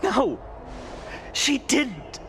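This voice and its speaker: A man's voice: very high-pitched, huge pitch swings, very slow, creaky, fairly bright tone, a little hiss or hum, heavily acted. An actor voicing an earnest, humble young man in a game, a former student who speaks expressively and sighs often. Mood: impassioned. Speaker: student giving a public speech. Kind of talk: video game voice acting.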